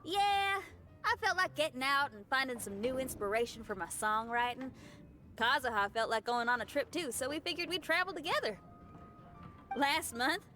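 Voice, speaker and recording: A woman's voice: high voice, sharp tone. A woman voicing a brash, cheerful young female rock musician in a game, with folksy, slangy speech. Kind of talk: game character voice acting.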